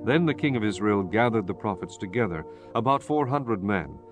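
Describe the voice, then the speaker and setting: A man's voice: fairly low pitch, animated, normal speed, music in the background. A man narrating scripture in a solemn, formal reading style for a dramatized Bible.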